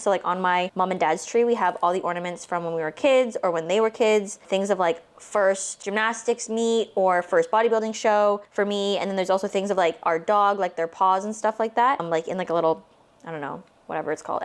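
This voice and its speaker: Late-20s woman vlogger from Canada, quick rambling chatter, lots of "like", casual and talky. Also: mid-range voice. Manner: rambling, heavy use of "like"; talks with her hands. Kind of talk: casual chatty vlog while baking.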